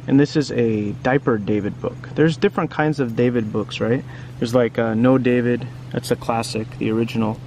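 A man's voice: mid-range voice, steady tone, moderate pace, darkish tone, slight background noise. A man doing a casual read-aloud for children, chatting informally and often asking the listener questions. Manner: informal, asks the listener frequent questions and tag questions. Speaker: children's book reader and teacher.

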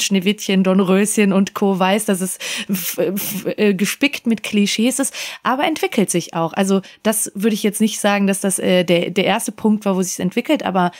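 A woman's voice: mid-range voice, a little mellow. A woman co-hosting a podcast, chatting casually in long, run-on sentences. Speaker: podcast co-host. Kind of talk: casual panel chat.

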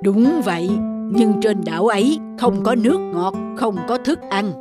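An actor playing an ancient, benevolent male sea god in an animated folktale, speaking solemnly and instructively in measured phrases. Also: mid-range voice, natural voice. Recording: echoing voice under loud music. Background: loud instrumental music.

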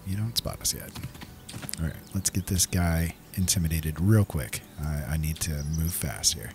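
A man's voice: extremely low pitch, normal speed, a little mellow, a little hiss or hum. A man giving casual, easygoing commentary on his own gameplay, talking to himself as he plays.

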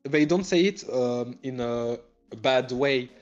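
A man's voice: medium pitch, slow, highs cut off, soft background music. Hesitant man with a French accent. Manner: hesitant, self-conscious, many fillers and laughs. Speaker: guest streamer. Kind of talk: casual stream chat.

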